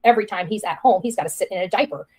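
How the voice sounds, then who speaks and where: Female online commentator, medium pitch, opinionated and accusatory, hammers points by repetition. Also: racing, darkish tone, slightly rough. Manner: accusatory, insistent, repeats phrases for emphasis. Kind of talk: opinionated live-stream commentary.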